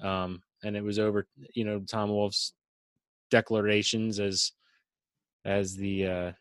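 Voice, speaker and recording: A man's voice: on the low side, little pitch movement, slow, smooth, no room sound. A man from Pennsylvania in casual conversation, blunt and opinionated. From Pennsylvania, USA.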